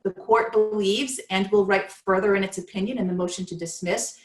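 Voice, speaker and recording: A woman's voice: mid-range voice, steady tone, brisk, faint music behind. Woman reading a ruling aloud, roomy. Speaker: union staff reading a court opinion aloud. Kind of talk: dramatic reading of a legal ruling.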